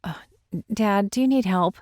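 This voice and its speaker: A woman's voice: mid-range voice, moderate pace, a little mellow, no echo, studio quality, natural voice. A woman playing an exasperated adult daughter correcting her father.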